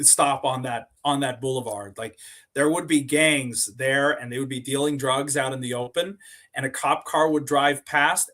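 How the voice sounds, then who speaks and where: A man's voice: medium pitch, a bit bright. Man telling stories in a podcast interview. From Sweden. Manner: rambling, repeats and restarts words, many fillers. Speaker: podcast guest, company co-founder. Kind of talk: casual interview storytelling.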